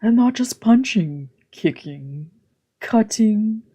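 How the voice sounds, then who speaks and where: Woman as a breathy, intense young man. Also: on the high side, lively intonation, slow pace, warm tone, voice pushed up, exaggerated voice. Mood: dark, confessional. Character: troubled young man craving violence, intense, confiding.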